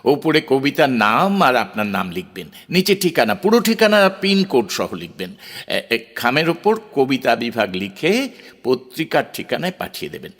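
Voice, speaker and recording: A man acting a flustered, introspective poet and editor in an audio drama, hesitant and repeating his words with dramatic pauses. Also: medium pitch, sing-song, fairly bright tone. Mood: brisk, formal. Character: middle-aged male poet and editor, flustered, smitten, introspective. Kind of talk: Bengali audio drama acting with brooding narration.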